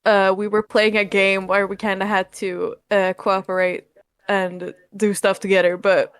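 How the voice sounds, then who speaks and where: Female streamer guest, native Swedish speaker, middle pitch, playful and self-deprecating, dry recording. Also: level tone, unhurried, clear, resonant tone, dry recording. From Sweden. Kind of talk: casual chat.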